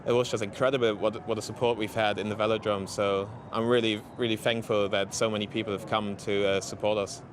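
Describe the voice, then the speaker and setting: A man with a German accent, an athlete giving an interview, speaking haltingly with many fillers and restarts. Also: fairly low pitch, level tone, slight background noise. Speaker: interviewed cyclist. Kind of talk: post-race interview.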